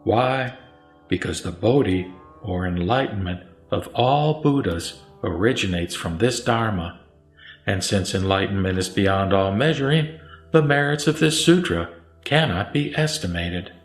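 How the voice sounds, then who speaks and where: A man reciting the Buddha's part in a scripted sutra audio drama, as a serene, authoritative teacher with solemn, deliberate phrasing. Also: on the low side, lively intonation. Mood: serene, instructive. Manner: solemn, didactic, deliberate listing. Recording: roomy echo, music bed underneath.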